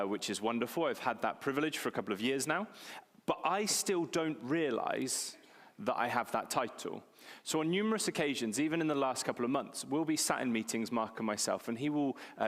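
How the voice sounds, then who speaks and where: A man's voice: medium pitch, fairly bright tone. A man, preaching a sermon in a conversational, self-deprecating way and repeating key phrases for emphasis. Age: 40s. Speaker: pastor. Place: church hall. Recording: long reverb of a church hall.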